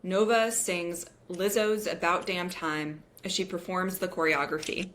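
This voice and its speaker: A woman's voice: lowish voice, fairly even intonation, measured pace, a bit bright. A woman reading screenplay stage directions as narrator in scripted table-read style.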